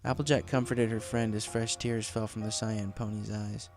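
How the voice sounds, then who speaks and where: A man's voice: on the low side, level tone, normal speed, a little mellow. A man reading a scripted story as its narrator. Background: background music.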